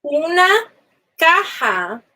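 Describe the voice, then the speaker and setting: A young adult woman teaching simple Spanish phrases in a casual online class, chatty and animated, often laughing and swooping between high and low notes. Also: extremely slow, low-quality recording.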